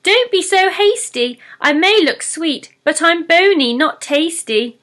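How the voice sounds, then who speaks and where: A tiny female pixie from a children's storybook, played by a woman. The voice is squeaky, high and bright. She sounds anxious and blurts her words out helplessly. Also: lively intonation, a little slow, exaggerated voice. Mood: pleading.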